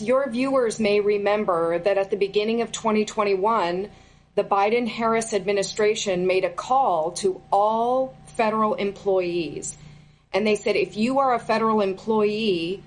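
A woman's voice: medium pitch, slight background noise, rough recording. A middle-aged woman answering interview questions as a former federal employee, speaking earnestly and stressing key words with indignation.

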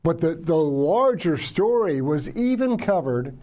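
Mellow-voiced, emphatic male interviewer. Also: a little high, very animated, measured pace. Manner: emphatic, repeats words when starting a sentence. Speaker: interviewer, show host. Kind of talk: conversational interview hosting.

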